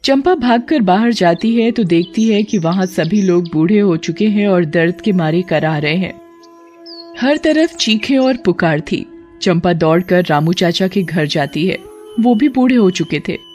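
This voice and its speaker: A woman narrating a scripted cartoon storybook in an even, clear storytelling voice. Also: fairly low pitch, a little slow, faint music behind.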